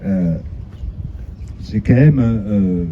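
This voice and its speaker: A man giving a public inauguration speech as an official, in a halting, hesitant way. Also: lowish voice, lively intonation. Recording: slightly dull sound, faint ambient noise.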